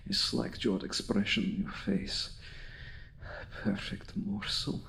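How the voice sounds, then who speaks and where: A man playing a seductive, domineering vampire hypnotist in an intimate ASMR roleplay, speaking in a hushed, deliberate, breathy voice that often slips toward a whisper. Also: sing-song, slight background noise, heavily acted. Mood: predatory, savoring. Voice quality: low, breathy, gravelly, often slipping into whisper. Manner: deliberate, commanding, coaxing with long pauses.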